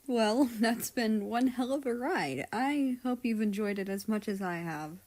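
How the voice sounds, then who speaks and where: A woman's voice: on the high side, unhurried, darkish tone. A woman who reads stories aloud and chats casually as the host of her channel. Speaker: audiobook-style reader and channel host. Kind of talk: scripted story narration and casual outro chat.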